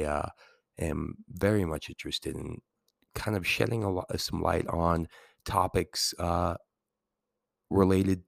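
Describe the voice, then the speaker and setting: A man hosting his podcast as a teacher in a rambling, casual way, with a calming, soothing voice while getting over a cold. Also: low-pitched, vocal fry, no room sound, clean studio sound. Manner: rambling, many fillers and restarts. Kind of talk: casual solo podcast talk.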